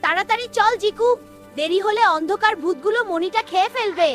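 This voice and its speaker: Tiny, squeaky-high childlike ghost. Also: female voice, a little fast, a bit bright, soft background music. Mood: anxious. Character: small childlike ghost, timid but eager. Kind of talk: cartoon voice acting.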